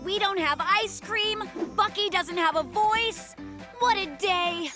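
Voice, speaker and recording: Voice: very high voice, slow pace. A performer voicing an earnest, gullible little polar bear cub in a children's cartoon, often questioning. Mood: puzzled, earnest. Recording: music behind the voice. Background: children's cartoon music.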